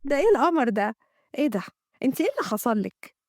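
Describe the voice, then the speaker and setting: A woman voicing an excitable, gushing young female coworker with an Egyptian accent. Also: high-pitched, very fast, no echo, studio quality. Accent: Egyptian Arabic.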